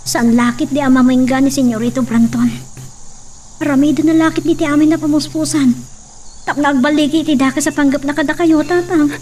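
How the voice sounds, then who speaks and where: A woman's voice: high-pitched, steady tone, brisk, warm tone, distant mic, a little hiss or hum, natural voice. A woman voicing a worried young daughter in a radio drama.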